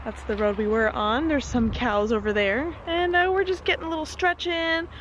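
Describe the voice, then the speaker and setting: A young American woman vlogging a road trip on a camera held at arm's length, excitable and chatty. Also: high-pitched, moderate pace, slight background noise, rough recording. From United States. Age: young adult. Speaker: travel vlogger. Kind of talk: casual travel vlog. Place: outdoors and in a van and restaurant. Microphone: handheld camera at arm's length.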